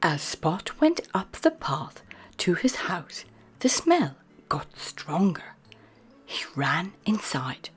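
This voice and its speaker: A woman reading a children's picture book aloud in a gentle, soft narration. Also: on the low side, big pitch swings, slow pace, faint music behind. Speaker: storyteller.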